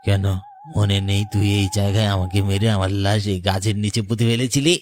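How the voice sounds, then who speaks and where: A man playing the vengeful ghost of a murdered young lover in an animated horror story, accusing and deliberate. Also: lowish voice, faint music behind, natural voice.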